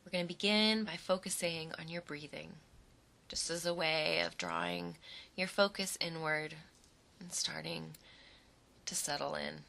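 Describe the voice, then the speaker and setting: A woman guides a relaxation exercise in a low voice with a noticeable creak. She takes her time, letting short, soothing phrases settle between pauses. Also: sharp tone. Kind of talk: guided imagery meditation.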